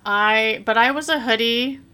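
A woman's voice: on the high side, measured pace, pushed and bright, clean tone. A middle-aged woman from Canada, a podcast guest telling her life story in an earnest, reflective, self-deprecating way. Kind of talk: casual interview chat.

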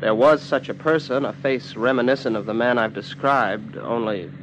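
A polite young heir to a fortune, acted by a man, choosing his formal words with hesitant care. His voice sits a little low, in old radio drama style. A clear layer of noise and hum lies over the recording. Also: steady tone, normal speed, lightly acted. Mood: hesitant, careful. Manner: formal, hesitant, careful wording. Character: hesitant, well-mannered young millionaire heir, male. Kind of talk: 1950s radio drama acting.

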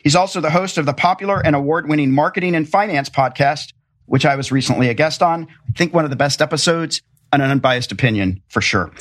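A man's voice: a little high, fast talker, fairly bright tone. An American man hosting a podcast, moving between introductions and conversational interview questions.

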